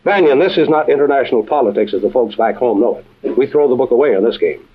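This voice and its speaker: An actor playing a composed, authoritative intelligence chief and adventure storyteller, measured and dry, in a vintage radio drama with tinny sound. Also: male voice, mid-range voice, expressive, slightly rough. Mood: grave, explanatory. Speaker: host and narrator-character. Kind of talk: old-time radio adventure drama acting.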